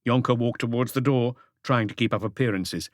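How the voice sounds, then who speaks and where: A man's voice: medium pitch, fairly quick, dry recording, studio quality. A man narrating a mystery audiobook from a script.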